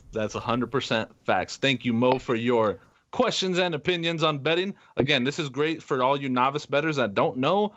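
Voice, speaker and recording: A man talks casually as a sports podcast co-host. His voice sits at a middle pitch, and the audio is slightly dull and lo-fi. The delivery is conversational betting chat. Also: normal speed, fairly bright tone.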